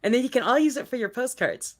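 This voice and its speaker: A woman's voice: on the high side, lively intonation, fast talker. A woman hosting a live-stream talk show, chatting in an upbeat way and laughing often. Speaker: host. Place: home in Colorado.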